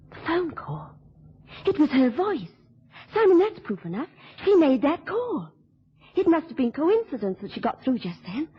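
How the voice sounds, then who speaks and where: A woman's voice: high voice, big pitch swings, moderate pace. An actress playing an anxious, devoted woman in love, with emotional pleading in an old radio drama. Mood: overjoyed relief. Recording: dull, lo-fi old radio recording.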